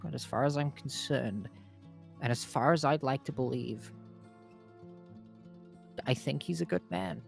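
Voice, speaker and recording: Halting man with a creaky voice. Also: medium pitch, soft background music. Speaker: tabletop game player. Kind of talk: halting in-character roleplay.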